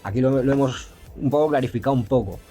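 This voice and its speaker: A man explaining geometry step by step, pausing and restarting often and stumbling when he reads English passages aloud. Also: mid-range voice, dark tone, faint music behind. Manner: hesitant, with frequent restarts and fillers. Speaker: math explainer.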